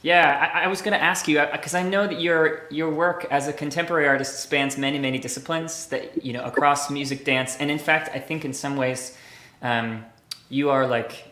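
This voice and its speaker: A man's voice: medium pitch, steady tone, brisk, sharp tone. Thoughtful man in an echoey room. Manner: thoughtful, hesitant, many fillers. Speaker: interviewer, host. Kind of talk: conversational interview.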